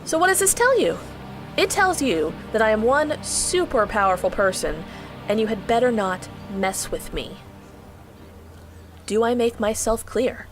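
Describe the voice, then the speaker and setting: A bold young heroine in a fantasy audio drama. Her high voice swings widely, sassy and emphatic, over a music bed. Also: expressive, a little slow. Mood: bold, commanding. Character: plucky, bossy young heroine, emotional and bold. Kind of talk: audio drama acting and first-person narration.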